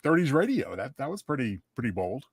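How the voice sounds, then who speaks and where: A man's voice: medium pitch, big pitch swings, brisk, breathy edge, no echo. A man co-hosting a podcast in casual conversation. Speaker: podcast co-host. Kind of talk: casual chat.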